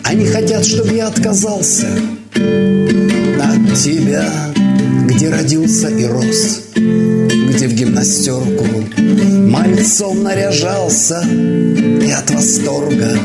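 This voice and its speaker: A woman singing a slow ballad, drawing out her lines. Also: sits low, expressive. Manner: slow, drawn-out sung lines. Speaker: singer. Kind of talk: sung ballad. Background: loud guitar accompaniment.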